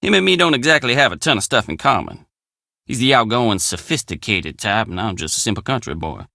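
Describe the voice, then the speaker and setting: A man's voice: lowish voice, very animated, fairly quick, gravelly, sharp tone, dry recording, studio quality, heavily acted. An actor playing a friendly, plainspoken young country handyman with an American drawl in a video game. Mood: wry, sincere. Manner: folksy, plainspoken country phrasing. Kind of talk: video game character dialogue.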